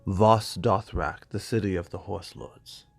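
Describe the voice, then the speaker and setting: A man voicing a seasoned exiled knight and loyal advisor, speaking gravely and with measure in a dramatic fantasy reading. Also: low voice, fairly even intonation, measured pace, no room sound, faint music behind, voice pushed down, full character voice. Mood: grave reflection.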